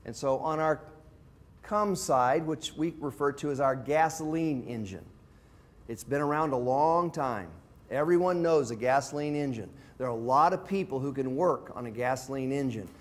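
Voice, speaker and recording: A man's voice: mid-range voice, normal speed. An older man, around sixty, a pastor giving an informal, plain-spoken teaching talk to a group, explaining things step by step. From from abroad, visiting South Africa. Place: indoor classroom with whiteboard and screen. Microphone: lapel mic.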